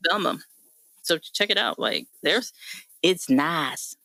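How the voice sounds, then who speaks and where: A woman hosts a relaxed discussion in a bright, crisp-edged voice. She talks in an effusive, appreciative, conversational way. The sound is dry, with very little room around her. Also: fairly low pitch, slow, dry recording. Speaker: host of a discussion show. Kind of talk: casual chat, hosting.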